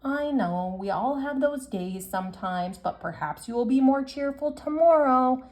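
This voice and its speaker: A calm, patient mother from a picture book, read by a woman in close to her own voice. She speaks gently and evenly. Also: high voice, unhurried, pitched above the performer's own voice.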